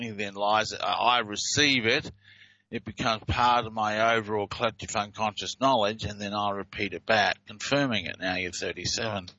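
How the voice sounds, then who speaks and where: A man talks through recorded clips in a loose, halting way, with frequent "uh"s and restarts. His voice is bright and forceful. The sound is dry, close and slightly dull. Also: lowish voice, dry recording. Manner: hesitant, many fillers and restarts. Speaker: presenter explaining speech reversal recordings. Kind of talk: casual explanatory talk over played clips.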